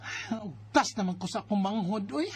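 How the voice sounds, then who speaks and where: A woman's voice: mid-range voice, lively intonation, brisk, slight background noise, natural voice. A woman playing a worried, protective older sister with a Filipino accent in a radio drama, emphatic and exasperated.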